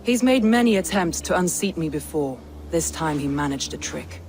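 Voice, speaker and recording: Proud Norse warlord, stern, measured, guarded, game cutscene, steady noise behind. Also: female voice, low voice. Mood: determined. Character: proud, resolute female Norse warlord, middle-aged. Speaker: Norse lord of a city. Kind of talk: video game cutscene acting.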